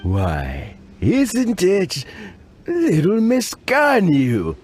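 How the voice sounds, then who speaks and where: An actor playing a kindly, rambling old gentleman of high office in a video game, courteous and genial. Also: wildly swooping pitch, very slow, full character voice. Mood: pleasantly surprised.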